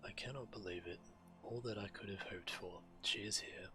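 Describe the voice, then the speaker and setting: An actor playing a grave, urgent dragon leader in a video game, speaking with earnest, measured urgency. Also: male voice, fairly low pitch, natural voice. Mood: solemn, urgent. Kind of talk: dramatic video game dialogue. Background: game music.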